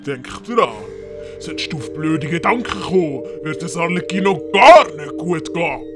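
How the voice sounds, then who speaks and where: A man's voice: a little high, moderate pace, natural voice. A man voicing a menacing, fawning old villain in a theatrical audiobook style. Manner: theatrical, switching between threats and oily flattery. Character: menacing, fawning old villain great-uncle, a master of the house. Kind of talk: audiobook character acting. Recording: prominent music behind the voice.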